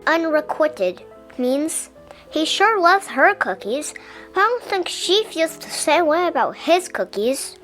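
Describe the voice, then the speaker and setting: High-voiced woman reading a children's storybook. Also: sing-song, slow, fairly bright tone, soft background music. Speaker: picture-book reader. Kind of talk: children's storybook read-aloud.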